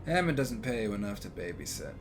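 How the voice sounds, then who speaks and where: An actor playing an easygoing, teasing park worker in an audio drama, laid-back and joking. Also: male voice, lowish voice, very animated, fast talker.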